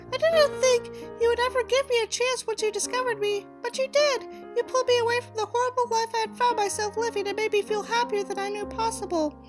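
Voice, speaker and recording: A woman's voice: very high-pitched, voice pushed up. A woman voicing a wounded, pleading teenage girl in an audiobook, earnest, plaintive and melodramatic. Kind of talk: audiobook character reading.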